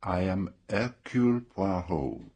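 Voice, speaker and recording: A man playing a grave, methodical detective in a radio drama, questioning formally and deliberately. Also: low voice, lively intonation, darkish tone, breathy edge, exaggerated voice. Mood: probing, calm. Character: methodical, probing older male detective, calm and grave.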